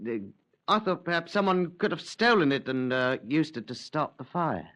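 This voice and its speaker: A man's voice: fairly high pitch, lively intonation, normal speed, a bit bright. An actor playing a nervous, evasive man suspected of murdering his wife, stammering and fumbling his words in a 1950s radio drama.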